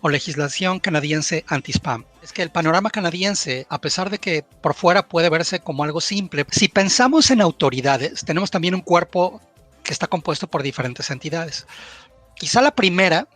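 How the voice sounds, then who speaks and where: A man's voice: a little high, expressive, normal speed, fairly bright tone, faint music behind. A man from Mexico with a Mexican accent, giving an informal expert presentation and posing questions that he answers himself. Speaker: data privacy professional, panel speaker.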